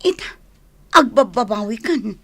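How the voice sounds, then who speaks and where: A woman's voice: on the high side, lively intonation, unhurried, bright, forceful tone, full character voice. A woman with an Ilocano accent, acting in a radio drama as a young mother who is a sympathetic friend.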